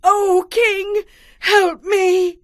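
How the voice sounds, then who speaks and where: An actress playing a pleading, mournful widow at an ancient royal court in a dramatized audio Bible. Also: female voice, very high-pitched, flat delivery, extremely slow, no echo, studio quality, full character voice. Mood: distressed pleading.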